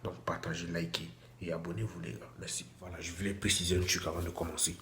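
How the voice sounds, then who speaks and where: A man with a cold talks casually to his phone camera in a small bathroom, his voice low and even. He repeats himself and restarts often, and the small room echoes around him. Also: quick pace, slight background noise. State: has a cold. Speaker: vlogger. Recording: echoey room with faint ambience.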